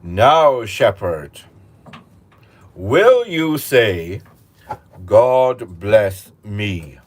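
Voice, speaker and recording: A man voicing a pompous, short-tempered king who booms and drags out his commands. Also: sing-song, full character voice. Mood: booming, imperious. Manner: booming, drawn-out commands.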